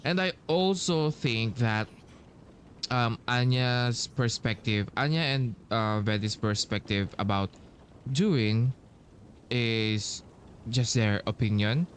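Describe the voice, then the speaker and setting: Very slow, halting male gamer. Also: medium pitch, a little hiss or hum. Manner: halting, thinking aloud with long pauses. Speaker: gaming streamer playing through a story quest. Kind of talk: casual playthrough commentary. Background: game music.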